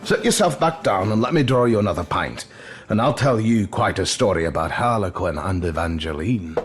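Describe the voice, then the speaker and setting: A man's voice: on the low side, lively intonation, moderate pace, faint music behind, natural voice. An actor playing a friendly, folksy barman who loves telling stories, in an audio drama. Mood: relishing a tale. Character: friendly, storytelling barman, warm to his regulars.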